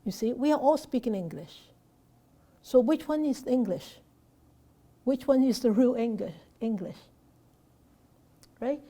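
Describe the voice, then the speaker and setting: A woman Buddhist teacher answering a student's question off the cuff, halting with frequent fillers and restarts and posing rhetorical questions. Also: on the high side, dark tone. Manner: hesitant, many fillers and restarts.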